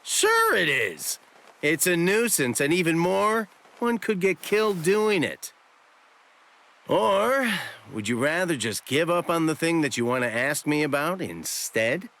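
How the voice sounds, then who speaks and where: An actor playing a sly, breezy shopkeeper in an anime game dub, with a glib, theatrical, playful way of talking. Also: male voice, fairly high pitch, big pitch swings, measured pace, a bit bright, full character voice. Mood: playful, teasing. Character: sly, breezy shopkeeper hiding great power, cheekily self-flattering.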